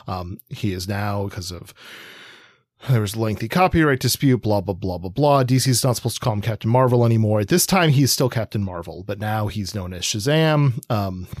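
A man's voice: fairly low pitch, darkish tone, dry recording, clean studio sound. Rambling middle-aged man with fillers. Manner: rambling, many fillers and restarts. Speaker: podcast co-host. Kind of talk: casual chat about comics.

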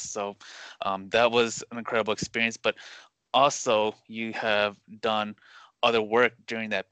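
Dude hosting a podcast interview, lowish but crisp voice, earnest n halting, dry sound. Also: male voice, bright, forceful tone, no room sound. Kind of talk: conversational interview introduction.

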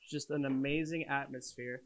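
Young male student, casual interviewee, evenly pitched delivery in a middle register. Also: steady tone, moderate pace. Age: young adult.

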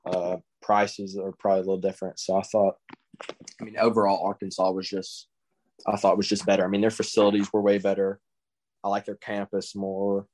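A teenage boy with a Southern accent answers podcast questions in a low, level voice. He stays laid-back, leans on "I mean" and rarely lifts his tone. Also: little pitch movement, fairly quick, darkish tone, a little hiss or hum. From Texas. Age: teens. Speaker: guest, high school baseball recruit. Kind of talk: casual interview answers.

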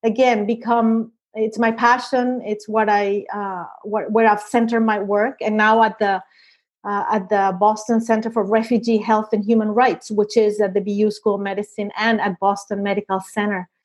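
A woman's voice: medium pitch, little pitch movement, normal speed. A middle-aged woman from Venezuela with a Venezuelan Spanish accent, a psychologist answering interview questions thoughtfully, with many fillers and restarts. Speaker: psychologist guest.

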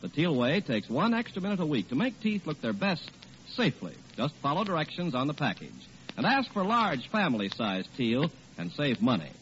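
A man's voice: fairly high pitch, very animated, normal speed, a bit bright. An American man announcing and reading ads in a 1940s radio style. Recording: muffled old radio recording, faint noise.

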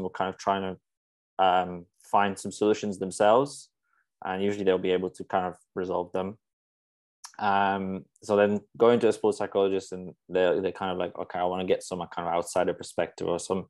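Deep, flat-voiced man from Poland. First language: Polish. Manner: hesitant, many fillers and restarts. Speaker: sports psychologist guest. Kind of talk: casual podcast interview.

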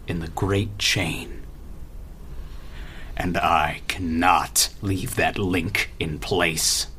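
Casting: male actor for imperious audio-drama despot, low, hard-edged, extremely measured delivery, pompous and cold. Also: bright, forceful tone, a little hiss or hum, exaggerated voice. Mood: commanding contempt. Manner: pompous, deliberate, oratorical. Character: imperious, cold male tyrant, proud and contemptuous.

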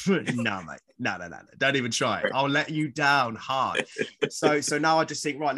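A man's voice: medium pitch, sharp tone. A man in his forties, a fitness trainer chatting casually and rambling, repeating words as he explains. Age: 40s. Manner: rambling, repeats words, acts out quoted voices. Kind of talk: casual podcast chat.

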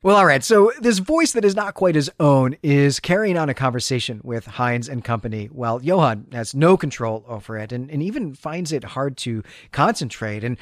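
A man's voice: medium pitch, animated, normal speed, few pauses, no echo, clean studio sound. A man hosting a literary podcast, discussing and recapping fiction in discursive, scholarly phrasing with colloquial asides. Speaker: podcast host.

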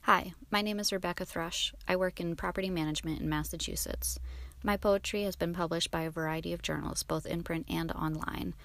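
Woman, poet, soft quick intro, gravelly low voice, distant dry recording. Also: fairly even intonation, no echo.

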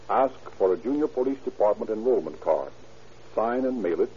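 Low-voiced vintage male radio announcer. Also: warm tone, breathy edge. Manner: formal, emphatic. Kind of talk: 1930s radio scripted narration and announcing. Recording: noisy, muffled 1930s radio recording.

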